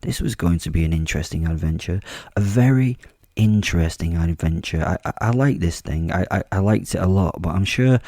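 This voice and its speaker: Deep, gravelly man narrating horror. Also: a little fast, mellow, no room sound, clean studio sound. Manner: brooding, wry and menacing, with repeated stumbles. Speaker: host and narrator. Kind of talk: first-person horror audio drama narration.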